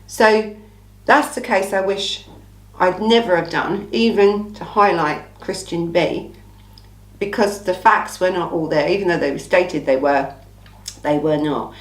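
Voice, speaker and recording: A woman from England hosting a casual true crime Q&A, her voice croaky from a cold, talking in a blunt, chatty, opinionated way. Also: fairly low pitch, unhurried, echoey room, recorded from a distance, poor audio. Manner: chatty, blunt, opinionated, says "listen" often. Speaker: true crime channel host. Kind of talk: casual Q&A monologue.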